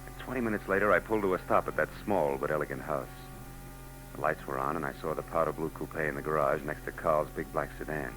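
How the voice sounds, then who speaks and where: An actor with an American accent playing a world-weary, wisecracking private eye, narrating wryly in a 1940s radio noir drama. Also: male voice, very deep voice, fast talker, fairly bright tone, a little hiss or hum, low-quality recording. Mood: grim, uneasy. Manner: hard-boiled, wry. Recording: vintage 1940s radio recording.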